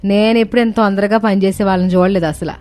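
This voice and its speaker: A woman playing a doting, bustling older village mother-in-law with rustic colloquial speech in an animated story. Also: medium pitch, quick pace, lightly acted. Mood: admiring. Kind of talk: animated moral-story voice acting. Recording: music bed under the voice.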